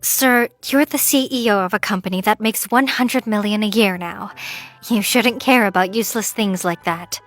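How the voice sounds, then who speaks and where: A woman's voice: mid-range voice, moderate pace, faint music behind, lightly acted. A woman playing a composed, loyal young female employee in a manga story dub, polite, matter-of-fact and encouraging. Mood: firm, reassuring.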